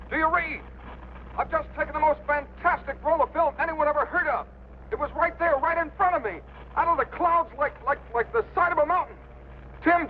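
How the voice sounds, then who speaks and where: An awestruck, frantic pilot in a vintage drama. His voice is extremely high and strained through a radio link. The signal is muffled with faint noise. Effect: radio transmission. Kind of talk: 1950s drama acting. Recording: muffled, noisy radio transmission.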